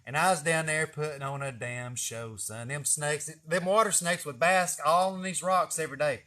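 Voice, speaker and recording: A man with a fairly high voice and a Southern drawl from East Tennessee talks casually to a live audience online. He rambles from one story to the next in a folksy, salty, cussing way. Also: moderate pace, bright, forceful tone. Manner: folksy, profane, chuckling storyteller. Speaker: snake catcher hosting a live video. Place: greenhouse garden.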